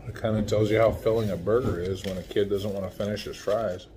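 A man in his forties chats his way through a food review at home, his voice mellow and a little low. He talks easily between bites, recorded on a phone held close with a faint hiss behind him. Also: a little fast. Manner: relaxed, chatty, talks while eating. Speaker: food vlogger. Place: small room at home, window blinds. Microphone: phone camera at arm's length.

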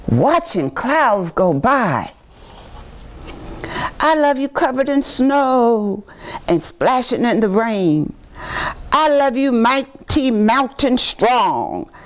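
An older woman reads a children's picture book aloud in a deliberate, expressive storytime manner. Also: fairly high pitch. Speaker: city commissioner reading to children. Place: small indoor room with bookshelves.

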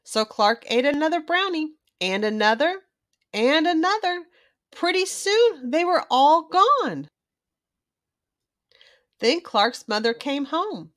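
A woman reads aloud for young children as a storybook host. Her voice is fairly high and moves up and down a lot, giving the scripted reading an expressive, storytelling feel. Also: slow pace, pauses often, no room sound, studio quality. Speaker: children's book reader and host.

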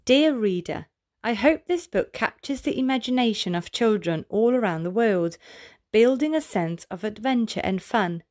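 A woman reading a picture book aloud to young children in an expressive, sing-song, exaggerated storyteller's delivery. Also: mid-range voice, normal speed.

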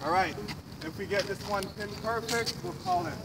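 A man's voice: high-pitched, brisk. A man coaching a youth drill team in an outdoor parking lot, earnest and repetitive, heard on a phone camera. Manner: earnest, repeats instructions with many fillers. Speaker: drill team member giving feedback. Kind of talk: casual coaching talk. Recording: distant phone audio, loud outdoor noise.

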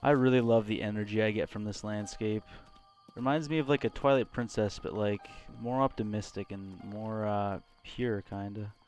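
A man's voice: lowish voice, fairly even intonation, normal speed, darkish tone, lo-fi sound. A man giving casual live video game commentary, thinking aloud in fragmented, murmured reactions. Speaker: let's play gamer. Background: video game music.

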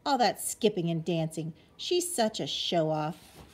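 A sulky little boy koala, put on by a woman reader, grumbling and protesting through a storybook. The voice is husky and whiny, with pitch that swings about. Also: big pitch swings, unhurried. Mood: complaining. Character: grumpy young boy koala, sulky, easily embarrassed.

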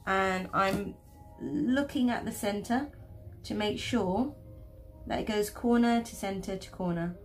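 A woman's voice: medium pitch, a little slow, faint music behind. A woman talking viewers through drawing steps at her desk, chatty and self-deprecating. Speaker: art teacher. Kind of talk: step-by-step drawing tutorial.

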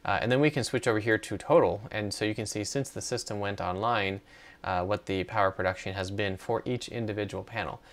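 A middle-aged man narrates an on-screen walkthrough. His voice sits on the low side. He talks casually and conversationally, with some hesitation as he explains things. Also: brisk. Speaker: tutorial presenter.

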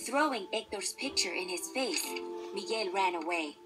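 A woman's voice: mid-range voice, lively intonation, moderate pace. A woman narrating a children's story from a script, as a read-along storybook narrator. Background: instrumental music.

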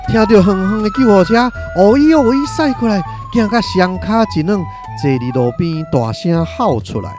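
語調起伏很大的台灣男旁白. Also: male voice, high-pitched, lively intonation, moderate pace, few pauses, music in the background. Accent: Taiwanese. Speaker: radio drama narrator. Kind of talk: dramatic scripted literary narration.

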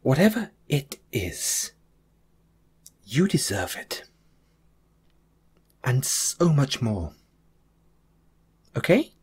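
A man with a very breathy, husky voice speaks slowly and gently, as in a comfort audio roleplay. His mid-pitched voice rises and falls expressively, slipping toward a whisper, intimate and reassuring throughout. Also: huge pitch swings. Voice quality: breathy, hushed, at times whispering.

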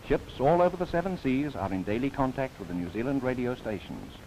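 A man's voice: medium pitch, big pitch swings, fairly quick, darkish tone, slight background noise, rough recording. A man narrating a scripted 1930s government documentary in formal, theatrical phrasing with wry asides, on an old film soundtrack.

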